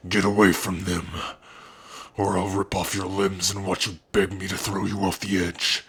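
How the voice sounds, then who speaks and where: A man voicing a gruff, fierce but tender orc warrior in an ASMR roleplay, with a husky, gravelly voice. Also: deep voice, level tone, normal speed, fairly bright tone, slight background noise. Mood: menacing. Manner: menacing to foes, tender with loved one.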